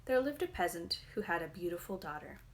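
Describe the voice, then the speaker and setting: A woman's voice: fairly low pitch, lively intonation, natural voice. Woman reading as a tart, dignified old nursemaid storyteller. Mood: storytelling. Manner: precise, measured, stern. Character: tart, dignified old Russian nursemaid telling fairy tales.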